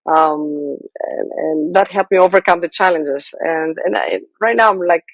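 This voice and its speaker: A woman with a heavy Greek accent answers interview questions in an earnest, halting way, circling back to restart her phrases. She sounds to be in her forties. The recording is dry, with no room sound. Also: sits low, expressive, no echo, low-quality recording. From Greece. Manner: earnest, with frequent restarts and fillers. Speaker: guest, political candidate.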